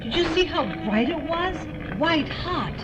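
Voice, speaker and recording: Wary, urgent sci-fi heroine played by a woman, high animated voice, muffled lo-fi track with heavy music. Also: unhurried. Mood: alarmed, urgent. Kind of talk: audio drama acting.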